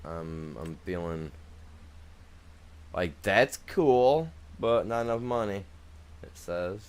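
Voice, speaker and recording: Laid-back low-voiced male streamer. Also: animated, slow, clear, resonant tone. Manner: laid-back, rambling, talks to chat, trails off and restarts. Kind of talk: casual live gameplay chat.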